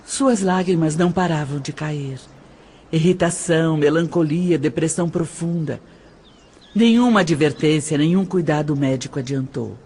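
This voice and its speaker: A woman playing a practical middle-aged adoptive mother in an audio drama, explaining in measured phrases with emphatic pauses. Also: low voice, dark tone, breathy edge. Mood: grave, somber. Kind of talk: dramatized audio novel dialogue.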